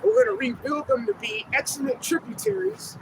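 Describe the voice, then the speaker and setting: A man's voice: very high-pitched, sing-song, a little fast. A man preaching in the street, emphatic, directing a reader with go-ahead calls. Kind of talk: street sermon. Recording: outdoor traffic noise.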